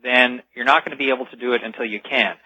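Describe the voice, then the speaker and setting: A man's voice: medium pitch, fairly even intonation, fast talker, pushed and bright, dry recording. A man speaking as a radio show guest, explaining things earnestly in an interview. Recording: slightly dull lo-fi radio call audio.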